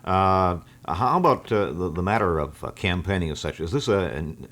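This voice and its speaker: A male radio host of a call-in show, asking questions haltingly with many fillers. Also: low-pitched, expressive, a bit bright. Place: radio studio.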